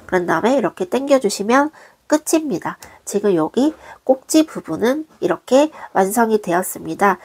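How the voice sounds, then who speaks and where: A woman, hoarse from a cold, patiently walking viewers through a craft tutorial step by step in a gentle, polite way. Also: mid-range voice. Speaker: crochet designer teaching.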